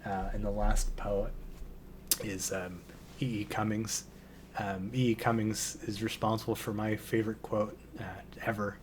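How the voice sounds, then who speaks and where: A man with a slightly hoarse voice, hosting a relaxed poetry reading and hesitating often with ums and restarts. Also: lowish voice, measured pace, a little hiss or hum. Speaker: poetry channel host. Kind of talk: casual intro and poetry reading.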